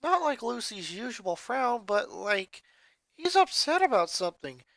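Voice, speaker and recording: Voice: mid-range voice, very animated, unhurried, a bit bright, no room sound, voice pushed up. A reader voicing a sixteen-year-old airheaded girl who talks enthusiastically and says "like" often. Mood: enthusiastic. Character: bubbly, airheaded teen girl, eldest sister.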